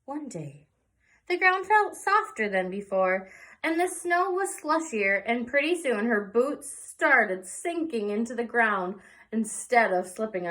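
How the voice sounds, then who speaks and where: A woman reading a picture book aloud to young children in an expressive storytelling way, pausing for little asides. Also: on the high side, rough recording. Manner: slow, animated, pausing for asides. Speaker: children's read-aloud presenter.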